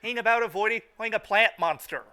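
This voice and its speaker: A man doing excitable riffing commentary as a host, exclaiming and stammering as he repeats phrases. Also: high voice, fast talker, faint music behind. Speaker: podcast host riffing on a cartoon.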